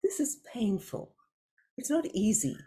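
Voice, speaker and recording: Soft-spoken woman facilitating a discussion. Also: on the high side, sing-song, measured pace, husky. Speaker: facilitator of an online group discussion. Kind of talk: reflective group discussion. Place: video call.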